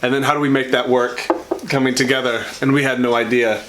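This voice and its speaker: American man, mid-pitched conversational vlogger. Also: flat delivery, fairly quick, a bit bright, a little hiss or hum, poor audio. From Washington state, United States. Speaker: vlog co-host, pastor. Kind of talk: conversational personal storytelling.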